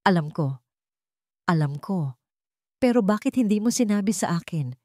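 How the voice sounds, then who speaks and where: A woman's voice: on the low side, animated, normal speed, a little mellow, dry recording, clean studio sound, lightly acted. A woman reading a proud but tender billionaire heroine. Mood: heartfelt. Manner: heartfelt, firm.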